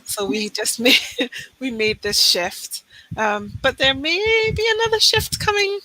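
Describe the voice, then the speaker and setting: A woman's voice: high-pitched, unhurried, pushed and bright, low-quality recording. A woman from Manchester hosting a podcast, earnest and friendly, now and then tripping over her words. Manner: friendly, earnest, occasionally tongue-tied. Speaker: co-host, HR consultant. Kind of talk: casual podcast chat.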